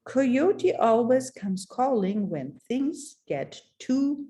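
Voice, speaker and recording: A woman reading a text aloud as a narrator, in a theatrical, deliberate storytelling way with dramatic pauses. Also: fairly high pitch, big pitch swings, mellow.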